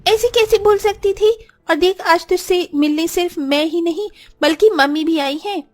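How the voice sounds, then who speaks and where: A woman playing a friendly, chatty visitor in a Hindi cartoon story, with an animated way of talking. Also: very high-pitched, moderate pace. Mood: cheerful. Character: friendly, chatty female visitor in a village household.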